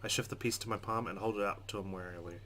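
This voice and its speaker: Lowish-voiced male let's player, expressive reading. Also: rapid-fire. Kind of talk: reading game narration aloud with casual reactions. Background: game music with guitar.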